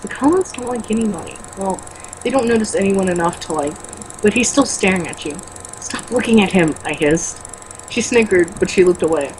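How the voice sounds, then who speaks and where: A woman's voice: mid-range voice, lively intonation, brisk, noisy background. A woman reading a novel aloud as an amateur, tripping over words now and then and breaking off for blunt, mocking, casual commentary. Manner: stumbles over words when reading, blunt and sweary in asides.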